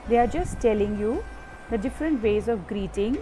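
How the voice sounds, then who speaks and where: A woman's voice: a little high, lively intonation, moderate pace, warm tone, faint music behind. A woman teaching young children in an online class. She reads from a textbook and explains it patiently, step by step.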